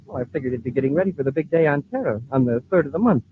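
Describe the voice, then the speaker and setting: A man playing a boyish, eager space cadet sidekick, excitable and deferential, in 1950s radio serial style. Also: a little high, very fast, a little hiss or hum, natural voice. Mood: eager, chatty. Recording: tinny, band-limited old radio recording.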